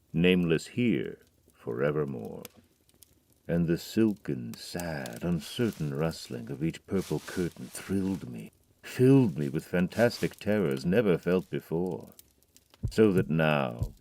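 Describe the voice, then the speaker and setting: A man giving a brooding, measured dramatic reading of a poem, dropping to a hush on key words. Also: rumbling low. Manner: measured, brooding recitation with hushed drops. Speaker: narrator.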